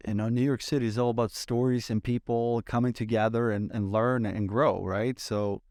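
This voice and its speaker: A man, hosting a casual podcast in a relaxed, thoughtful way, pausing to find his words. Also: medium pitch, no room sound, studio quality. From Sweden. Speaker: podcast host.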